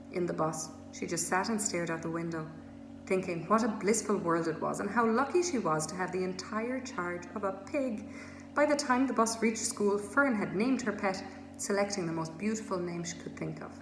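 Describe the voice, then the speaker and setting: Woman reading a children's story, echoey room. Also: lowish voice. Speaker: storybook reader. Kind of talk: scripted children's story narration. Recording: echoey room with steady noise.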